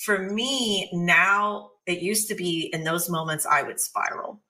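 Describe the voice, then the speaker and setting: A woman speaks in a slightly low register with a bright, clear-cut edge. She is candid and conversational, telling personal stories and acting out her own thoughts as she goes. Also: measured pace. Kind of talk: casual, confessional conversation.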